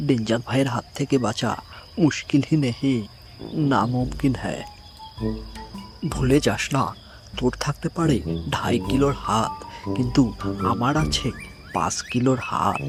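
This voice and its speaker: A man's voice: mid-range voice, normal speed, long pauses, over music, close to an everyday voice. Man voicing a swaggering cartoon forest bully. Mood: boastful, menacing. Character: boastful menacing male forest bully, swaggering tough guy. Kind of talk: Bengali cartoon dub.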